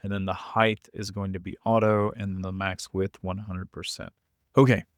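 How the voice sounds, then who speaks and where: A man's voice: sits low, flat delivery, moderate pace, no room sound, studio quality. A man teaching in an informal step-by-step screen tutorial, thinking aloud as he works. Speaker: coding tutorial instructor.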